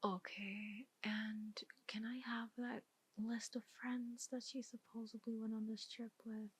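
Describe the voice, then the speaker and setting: A woman performing a soft-spoken ASMR roleplay as a detective, with measured, deliberate questioning. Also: a little high, little pitch movement, breathy edge.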